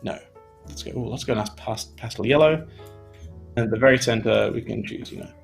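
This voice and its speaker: A young man from Australia talks through an animation lesson in a loose, chatty way, full of small fillers. His voice is gravelly, with soft music playing faintly underneath. He is at a desk in his home studio. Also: medium pitch, brisk. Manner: relaxed, chatty, many fillers like "you know". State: voice tiring. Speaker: animation instructor. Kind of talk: casual live-stream tutorial.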